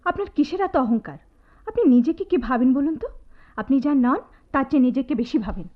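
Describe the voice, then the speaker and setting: An actress playing a spirited, sharp-tongued young woman student in a Bengali radio drama, taunting and animated. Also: high voice, quick pace. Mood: indignant, taunting. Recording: tinny phone-like radio drama audio, music behind.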